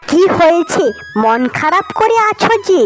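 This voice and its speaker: Cartoon landlord's wife acted by a woman: sorrowful mother, high-pitched, over music. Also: very animated, measured pace, a bit bright, exaggerated voice. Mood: concerned. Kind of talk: cartoon fairy-tale acting.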